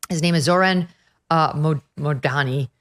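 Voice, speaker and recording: A woman's voice: sits low, moderate pace. A woman hosting a political talk show, sarcastic and emphatic in her commentary. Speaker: host. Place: at the beach.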